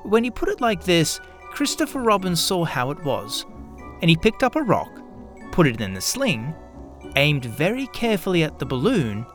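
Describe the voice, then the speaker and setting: Lively, animated male children's story narrator. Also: a little high, faint music behind. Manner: expressive, measured storytelling.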